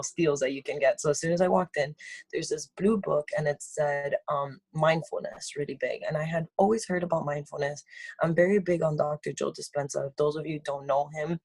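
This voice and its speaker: A woman in her twenties, a meditation guide and interview guest, telling her story in an effusive, warm way with fillers. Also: deep voice, slightly rough, dry recording, rough recording. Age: 20s. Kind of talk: casual interview chat. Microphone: video call.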